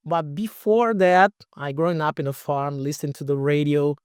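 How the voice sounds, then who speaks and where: A middle-aged man from Brazil with a Brazilian accent, a native Portuguese speaker, telling his story casually as an interview guest in halting English with repeated words. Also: on the high side, steady tone, clean tone, no room sound, studio quality. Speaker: podcast guest, radio station owner.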